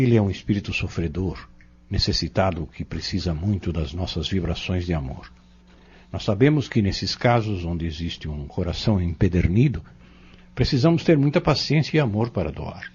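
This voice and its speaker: A man playing a patient, devout, fatherly spiritual mentor in an audio drama, gentle and instructive. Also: lowish voice, breathy edge. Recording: muffled lo-fi audio.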